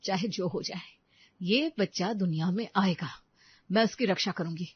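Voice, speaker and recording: A woman's voice: mid-range voice, moderate pace, husky, muffled recording, pitched below the performer's own voice. A woman voicing an anguished but defiant young widowed mother in a melodramatic audio story, emotional and protesting.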